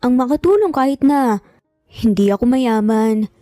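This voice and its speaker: A kind-hearted young waitress, voiced by a female storyteller, humble and courteous. The voice is slightly high and mellow, with a soothing, polite way of speaking suited to a romance audio story. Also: animated, moderate pace, no echo, clean studio sound, soft background music, natural voice. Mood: gentle, concerned.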